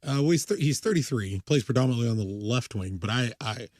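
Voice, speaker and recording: A man's voice: medium pitch, lively intonation, fast, darkish tone, dry recording, studio quality. A man in his thirties co-hosting a casual sports podcast, rambling and self-interrupting, swearing casually. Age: 30s. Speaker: podcast co-host.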